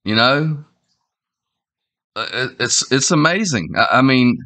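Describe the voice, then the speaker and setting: A man in his forties with a head cold that makes him sound nasal, talking in a halting, rambling way with many fillers and restarts. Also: medium pitch, lively intonation, pushed and bright. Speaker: online commentator. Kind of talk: casual opinion vlog. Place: small indoor room at home.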